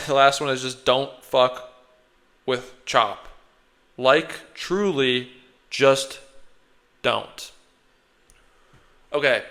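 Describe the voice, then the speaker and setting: A man talks close into his microphone while fighting off a slight cold, sniffling between thoughts. His voice sits at an ordinary middle pitch. He rambles and corrects himself as he reflects, and he is quite hard on himself. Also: sharp tone. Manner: rambling, thinking aloud, self-critical. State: a little sick, sniffling. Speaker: day trader reflecting on his own trading. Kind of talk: casual self-reflective monologue.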